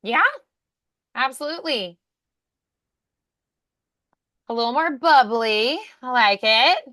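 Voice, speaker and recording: A woman's voice: a little high, lively intonation, measured pace, fairly bright tone, no echo, clean studio sound. A woman speaking as an encouraging voice acting coach in a one-on-one lesson.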